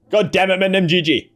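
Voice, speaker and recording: A man giving casual, excitable game reaction commentary, slangy with light swearing. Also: sits high. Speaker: gaming reaction host. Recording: game music behind the voice. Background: game soundtrack music.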